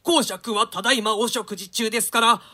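A woman's voice: a little high, steady tone, moderate pace, pushed and bright. A woman playing a curt, impatient henchman in a dramatic reading of an old Shakespeare play. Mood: brusque, impatient. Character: curt, impatient henchman hurrying a condemned man.

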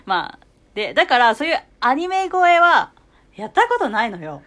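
A woman's voice: high voice, big pitch swings, moderate pace, fairly bright tone. A young woman chatting casually as a guest on a podcast, playful and given to chuckling. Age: young adult. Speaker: podcast guest, voice-acting student. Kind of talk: casual after-show chat.

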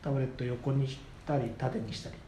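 A man's voice: medium pitch, fairly even intonation, brisk, warm tone. A man co-hosting a podcast in casual comedic chat, jokey and playful with words, reacting with mock indignation. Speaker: podcast co-host. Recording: roomy echo, faint background noise.

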